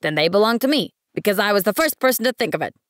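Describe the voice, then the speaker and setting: A man's voice: sits high, expressive, fast talker, fairly bright tone, clean tone, no room sound, studio quality, pitched above the performer's own voice. Woman doing a pompous, peevish businessman. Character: pompous middle-aged businessman counting stars.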